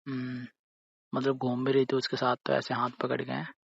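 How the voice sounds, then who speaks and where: A man acts out a young boyfriend in a phone-call drama, hurt and jealous. His delivery is flat and narrow in pitch, sulky and repetitive, pressing each accusation with little tag questions. The audio is dull and lo-fi. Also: normal speed, gravelly, breathy edge, no room sound. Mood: accusing. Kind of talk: acted phone-call drama in casual conversational speech.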